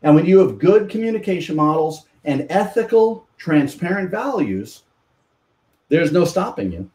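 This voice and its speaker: A man's voice: fairly high pitch, very animated, normal speed, darkish tone, roomy sound, distant mic. A man on a podcast, explaining how conversations work with examples, like a coach teaching. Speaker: podcast guest, communication coach. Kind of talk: podcast interview.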